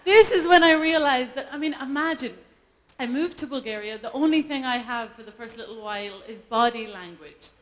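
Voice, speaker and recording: High-voiced Irish woman doing stand-up. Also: fairly quick. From Ireland. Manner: animated, self-mocking, laughs often. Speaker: stand-up performer. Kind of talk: stand-up comedy set. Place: live event stage before an audience.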